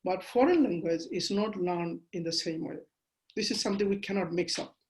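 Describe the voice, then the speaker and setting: A man from Bangladesh, a Bengali speaker, giving a measured webinar lecture over a video call with frequent fillers. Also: high voice. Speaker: guest lecturer.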